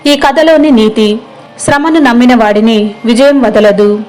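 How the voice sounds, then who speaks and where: A woman's voice: fairly high pitch, unhurried, darkish tone, faint music behind, low-quality recording. A woman storyteller reading a scripted moral tale as narrator.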